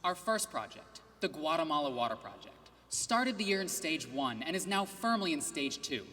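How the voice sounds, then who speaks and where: Guy doing a scripted team pitch, steady, bright tone, hall reverb. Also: normal speed. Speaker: student presenter. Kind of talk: rehearsed competition presentation.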